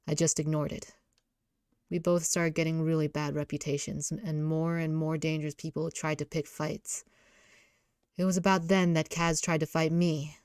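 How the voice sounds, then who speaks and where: Woman voicing a low, weary, brooding young man. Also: darkish tone, clear, resonant tone, dry recording, studio quality. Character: battered, guilt-ridden, gruff young man, a brooding love interest.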